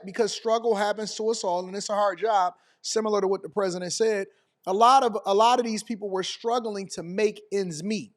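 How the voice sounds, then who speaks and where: A high-voiced American man delivers unscripted commentary on current affairs. He talks emphatically, like a host making his case, and often checks that listeners agree. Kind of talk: off-the-cuff news commentary.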